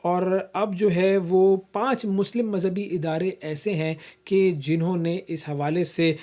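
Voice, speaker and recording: A man with a Pakistani accent presents news analysis as a programme host. His tone is soft-edged and mellow. He explains things conversationally, leaning on habitual filler phrases. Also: a little high.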